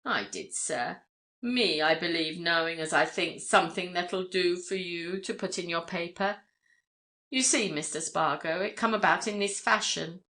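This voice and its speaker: A woman's voice: lowish voice, a little slow, pushed and bright. A woman voicing a shy, genial middle-aged farmer with a rustic English accent and a rambling, deferential, folksy manner in a story reading. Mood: knowing, folksy.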